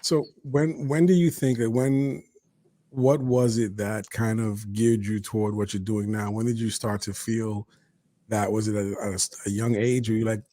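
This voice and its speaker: A man's voice: on the low side, fairly even intonation, normal speed, dark tone, dry recording. A man hosting a casual interview, asking long, rambling questions and laughing along with his guest. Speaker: interview host.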